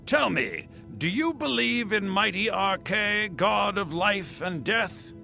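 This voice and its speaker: A man playing an earnest, troubled priest of the dead in a fantasy game, solemn and theatrical, with a gravelly voice. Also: high voice, big pitch swings, slow, sharp tone, faint music behind, exaggerated voice. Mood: solemn, searching. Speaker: voice actor in a fantasy video game.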